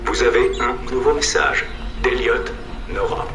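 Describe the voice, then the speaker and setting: A man voicing a polite service robot in a video game, giving flat, formulaic system announcements. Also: medium pitch, a little slow, lightly acted. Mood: impassive. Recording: echoey, noisy game battlefield audio.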